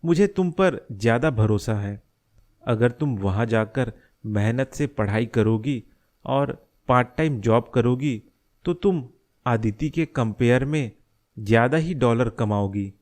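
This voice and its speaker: A man playing a worried middle-aged father in an animated moral story, speaking in a deliberate, earnest, explanatory way. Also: medium pitch, lightly acted. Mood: earnest, persuading. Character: worried middle-aged father scheming to protect his family.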